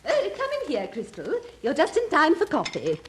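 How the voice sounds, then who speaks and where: A woman's voice: high voice, wildly swooping pitch, normal speed. An actress playing a fretful, scolding middle-aged wife and mother with an English accent in an old radio comedy. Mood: brisk. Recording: noisy old radio recording.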